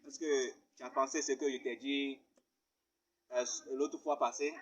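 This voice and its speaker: A man's voice: medium pitch, little pitch movement, a little slow, lightly acted. A man playing a persistent, churchgoing suitor who earnestly begs a woman to forgive him and marry him. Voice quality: earnest, begging manner.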